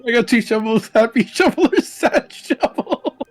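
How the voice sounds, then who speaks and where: A woman puts on a silly, dramatic game character with a high, swooping voice. The delivery is exaggerated and sing-song, drawn out slowly. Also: animated, no echo, soft background music. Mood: goofy.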